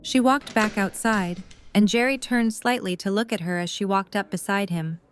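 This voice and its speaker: A woman's voice: medium pitch, normal speed, slight background noise. A woman narrating a scripted story as the narrator of an audio drama.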